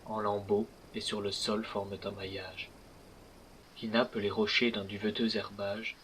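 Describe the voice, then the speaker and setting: Slow, low-voiced man reciting poetry. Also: little pitch movement, fairly bright tone, a little hiss or hum, rough recording.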